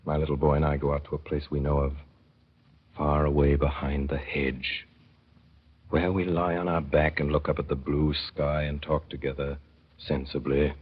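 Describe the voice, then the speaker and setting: Low-voiced male narrator, wry and measured, old radio sound. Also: little pitch movement, slightly rough. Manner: measured, wry storytelling with deliberate pauses. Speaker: narrator and lead performer. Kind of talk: 1930s radio drama narration. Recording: dull 1930s radio broadcast.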